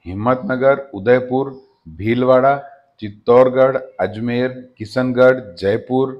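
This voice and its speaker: A man hosting his own channel, giving a steady informational voice-over that often addresses viewers as friends. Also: mid-range voice, slow pace, muffled recording. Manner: steady explanatory delivery with frequent "friends" address. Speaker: channel host. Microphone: voice-over over slides.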